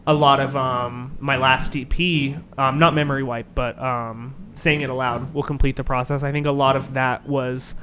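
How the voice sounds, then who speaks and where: A young man with a high voice chats loosely about music, rambling and restarting with lots of fillers. Faint noise sits behind him. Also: little pitch movement, moderate pace, few pauses, sharp tone. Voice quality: high register voice. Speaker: guest musician. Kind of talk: casual interview chat.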